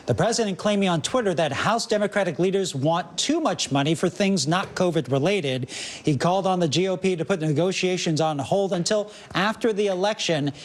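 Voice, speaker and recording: High-voiced male news anchor. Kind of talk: scripted news reading.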